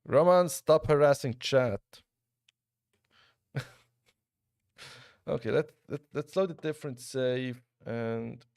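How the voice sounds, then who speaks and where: Gravelly Swiss streamer chatting with his viewers. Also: medium pitch, slow, long pauses, no room sound, clean studio sound. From Switzerland. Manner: relaxed, chatty, talks to chat, frequent restarts. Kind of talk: casual live-stream commentary while gaming.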